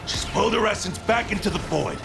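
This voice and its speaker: An urgent battle guide from a video game. The voice sits high with a lot of gravelly creak, giving instructions to a fighter. Loud music surges behind it. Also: male voice, very animated, a little fast, exaggerated voice. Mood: urgent, instructive. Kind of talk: video game dramatic acting.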